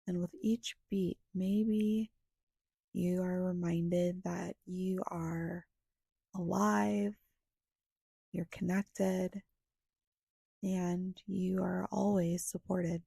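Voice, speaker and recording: A woman guiding a meditation in a soft, breathy, hushed and soothing voice, leaving long pauses between short phrases. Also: lowish voice, level tone, dry recording, studio quality. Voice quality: soft, breathy, often slipping toward a whisper. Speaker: guided meditation guide. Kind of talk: guided meditation narration.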